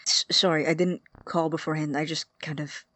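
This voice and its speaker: A woman playing an anxious, guarded college-age young man in an audio drama, speaking haltingly and apologetically. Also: deep voice, steady tone, a bit bright, no room sound. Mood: nervous, apologetic. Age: young adult. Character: awkward, anxious young male college student, studious and guarded. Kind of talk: naturalistic audio drama acting.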